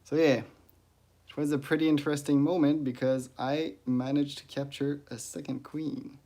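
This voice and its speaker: A man with a French accent, a native French speaker, talking casually on a livestream about his ant-keeping hobby, pausing often with "uh" and stopping to greet viewers in the chat. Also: on the high side, little pitch movement, mellow. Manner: halting, many "uh"s and restarts, greets chat viewers.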